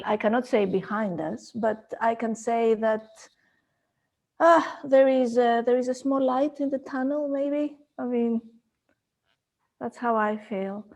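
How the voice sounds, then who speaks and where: This is a woman, talking in a measured, unhurried way. Her voice sits a little high, and she often stops to search for a phrase. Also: creaky. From Greece. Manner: thoughtful, searching for words. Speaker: guest. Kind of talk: video call chat.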